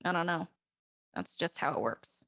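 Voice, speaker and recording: A woman's voice: fairly low pitch, animated, moderate pace, creaky, a bit bright, full character voice. A woman playing a blunt, tough, wry young woman in a naturalistic audio drama. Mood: quiet, matter-of-fact.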